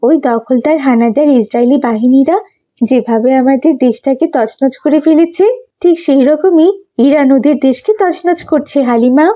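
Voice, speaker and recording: A woman's voice: sits high, normal speed, warm tone, lo-fi sound, natural voice. A woman voicing a fervent, hopeful young Palestinian woman in a cartoon, speaking emphatically. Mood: indignant. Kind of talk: cartoon dialogue acting.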